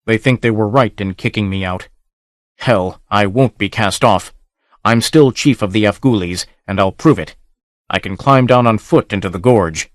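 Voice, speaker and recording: Man doing a gruff, blunt barbarian chief. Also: fairly low pitch, moderate pace, no room sound, studio quality, lightly acted. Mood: determined.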